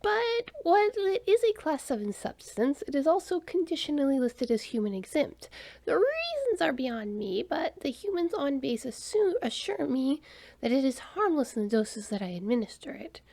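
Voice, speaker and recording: Woman voicing a fidgety small male alien, high squeaky voice, anxious, defensive. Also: big pitch swings, normal speed, vocal fry, darkish tone, heavily acted. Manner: nervous, high, defensive explaining.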